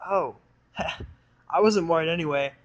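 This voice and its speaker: A young filly pony from a storybook cartoon world, acted out by a man. The voice sits well above a normal male register. The delivery is blunt, excitable and a bit sulky. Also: a little high, slow, lo-fi sound, pitched above the performer's own voice. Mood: uncertain.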